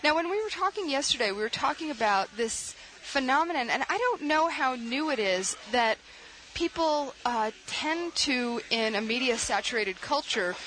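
A woman hosts a radio interview in a slightly high voice, asking loose, rambling questions with frequent restarts. A steady hiss sits behind her voice. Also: normal speed, hardly any pauses, poor audio. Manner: chatty, searching for words with many restarts. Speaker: radio show host.

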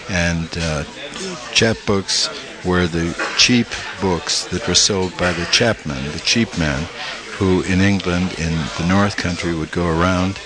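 Older man, Italy-born, deep, halting with lots of "uh", crowd in the background. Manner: halting, with many fillers and restarts. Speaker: guest, small press publisher. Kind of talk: interview conversation.

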